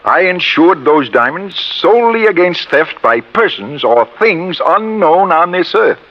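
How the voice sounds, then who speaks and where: An actor with an American accent playing an earnest, exasperated insurance executive, emphatic and insistent, in 1950s radio drama style. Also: male voice, a little high, big pitch swings, measured pace, full character voice. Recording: muffled old radio recording.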